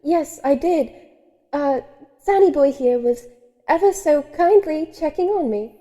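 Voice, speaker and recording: Genteel predatory vampiress for horror-comedy audio drama , female actor: high, mellow, coy, very proper. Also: expressive, unhurried. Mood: falsely sweet. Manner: refined, coy, proper phrasing.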